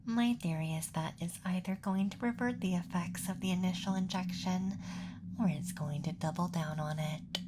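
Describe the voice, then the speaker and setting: A woman playing a cute, doting, clingy young alien abductor in soft, coaxing ASMR roleplay, close to the microphone. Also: lowish voice, moderate pace, background noise, close to an everyday voice. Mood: curious. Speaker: ASMR performer.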